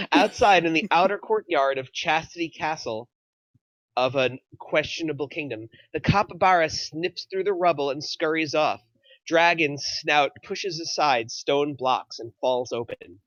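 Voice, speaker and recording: A narrator reads screenplay action lines at a middle pitch. The sound is close and dry, a little muffled, in a scripted table-read style. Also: a bit bright, no room sound. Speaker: narrator reading stage directions.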